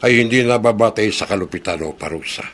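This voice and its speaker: An actor playing a solemn, grave messenger of death in a Filipino horror radio drama, speaking firmly and with measure. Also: male voice, fairly low pitch, quick pace, a bit bright, slight background noise, close to an everyday voice.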